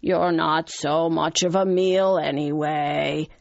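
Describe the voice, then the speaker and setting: A woman voicing a huge, proud male lion in a children's fable, with a grand, drawn-out delivery. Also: deep voice, steady tone, gravelly, no echo, highs cut off, voice pushed down, full character voice. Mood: amused disdain.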